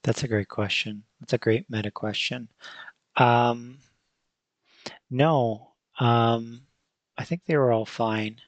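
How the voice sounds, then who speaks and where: A man hosting a casual, unscripted podcast chat, thinking aloud with pauses and restarts. His voice is mid-pitched and the recording is close and dry. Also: steady tone, dry recording, rough recording. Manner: thoughtful, hesitant, with many fillers and restarts. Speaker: podcast host.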